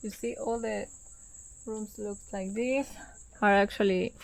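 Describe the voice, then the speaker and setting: Slow-talking woman. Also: mid-range voice, fairly even intonation, gravelly, poor audio. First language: Spanish. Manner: slow, repeats words, enthusiastic. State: pregnant. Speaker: travel vlogger. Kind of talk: casual vlog narration. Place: outdoors at a lodge.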